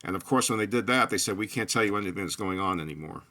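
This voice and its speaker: An older man talking alone about his research in a loose, rambling monologue, with frequent restarts and pauses. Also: fairly low pitch, pushed and bright. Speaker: true crime researcher. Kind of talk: solo spoken monologue.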